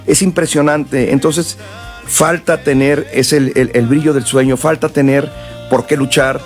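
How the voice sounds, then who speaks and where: A man from Mexico and South Texas, a musician guest chatting casually, relaxed and rambling with many fillers. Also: medium pitch, a little slow, faint music behind. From northern Mexico and South Texas. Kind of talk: casual interview chat.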